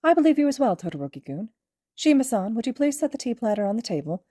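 A woman's voice: fairly high pitch, very animated, fast talker, dark tone, dry recording, clean studio sound, pitched above the performer's own voice. A woman voicing a clever, courteous small animal school principal in a fiction reading, speaking formally and reassuringly. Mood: courteous, businesslike.

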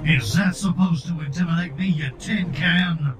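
A wisecracking armored space knight, played by a man, with a fairly high voice heard a little far off. Loud music runs behind his sarcastic lines in this radio-play style drama. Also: moderate pace, lightly acted. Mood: defiant. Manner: sarcastic, taunting. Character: defiant, wisecracking armored cyber knight hero. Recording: distant voice under loud music.

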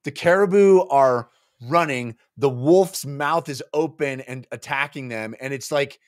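A man's voice: medium pitch, measured pace, no room sound. Man in his forties, dry close-miked chat. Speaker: co-host of a book club show. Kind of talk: casual chat recapping a story. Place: home office, on a video call. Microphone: desk microphone, close.

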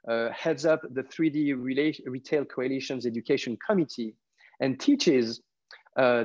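A man in his forties hosting an interview webcast in a halting, deliberate way, over a video call. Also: mid-range voice. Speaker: webcast host. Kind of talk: conversational interview with a prepared introduction. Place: small furnished room at home. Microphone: wireless earbuds on a video call. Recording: dull video-call audio.

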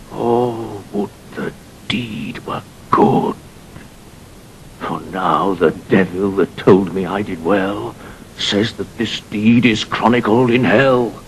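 An actor playing a grim, remorseful knight who killed a king, in a Shakespearean audio drama. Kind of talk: classical Shakespearean radio acting. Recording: faintly noisy, rumbling 1960 recording.

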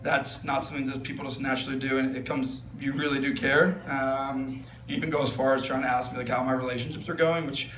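A man's voice: mid-range voice, little pitch movement, fast talker. A young man giving a best man's wedding toast on a handheld mic, rambling with fillers and jokes. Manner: rambling, filler-heavy, joking. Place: large wedding tent. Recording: echoey tent with loud room noise. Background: guests laughing.

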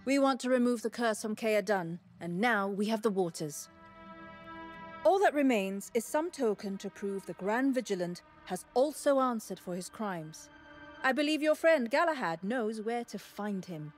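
An actress playing a perceptive, gentle alchemist in a fantasy video game, speaking in a measured, discreet way. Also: female voice, fairly high pitch, expressive, close to an everyday voice. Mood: thoughtful, hopeful. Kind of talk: scripted video game quest dialogue. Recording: game music behind the voice.

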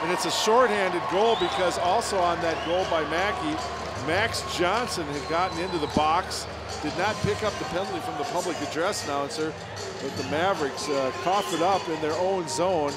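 A man's voice: fairly high pitch, normal speed. A man doing live play-by-play ice hockey commentary, calling the action in clipped phrases. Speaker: play-by-play announcer. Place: indoor ice hockey arena. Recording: loud arena noise behind broadcast commentary.

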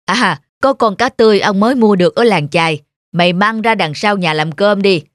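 A man's voice: high voice, a little fast, fairly bright tone, no echo, studio quality. A man playing a greedy, bullying middle-aged village master in a cartoon folk tale, with a scolding, scheming manner. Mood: bossy. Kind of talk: animated folk tale acting. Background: music.

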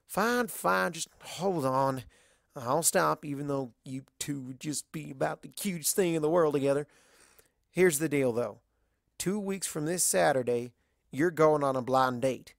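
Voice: mid-range voice, unhurried, gravelly, no echo, clean studio sound. A narrator voicing a frank, pushy but affectionate mare pony with a blunt, folksy, coaxing manner in a storybook reading. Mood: insistent.

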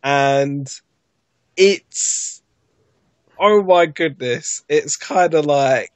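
A man's voice: on the high side, very slow, no echo. A man in casual chat, rambling with lots of fillers and restarts as a podcast guest. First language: not Japanese.

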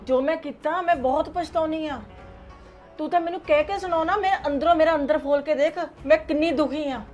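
Actress as an older grandmother, tender and pleading, voice pitched a touch high. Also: moderate pace, warm tone, dull audio, soft background music, lightly acted. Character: remorseful loving grandmother, older woman. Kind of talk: family drama acting.

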